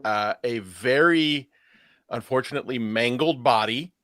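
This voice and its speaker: A man's voice: medium pitch, a little slow, a bit bright, no room sound, clean studio sound. A man co-hosting a talk show with casual, conversational commentary. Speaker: show co-host.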